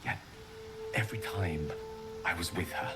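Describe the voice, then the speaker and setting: An actor playing an eloquent, wistful wizard in a video game, a man speaking in measured, confessional monologue. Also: low voice. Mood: yearning, reflective. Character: eloquent, wistful male wizard, once a prodigy. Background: music.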